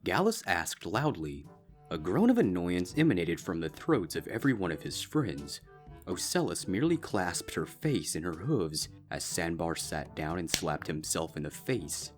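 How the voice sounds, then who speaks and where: Low-pitched man narrating a story. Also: big pitch swings, normal speed, soft background music. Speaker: fan fiction narrator.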